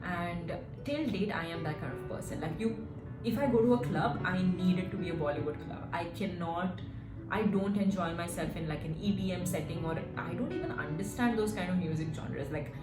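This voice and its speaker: A woman in her twenties who grew up in Singapore, a fitness coach talking casually to camera in an animated, storytelling way with lots of "like" and "right?". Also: fairly low pitch, normal speed. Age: 20s. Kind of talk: casual vlog chat. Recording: echoey room with music behind.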